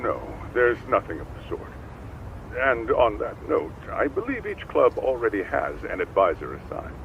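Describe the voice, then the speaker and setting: A man's voice: lowish voice, sing-song, moderate pace, vocal fry. A man voicing a polite, officious school administrator in a video game, formal and fussy, over dull, noisy audio. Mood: apologetic, firm.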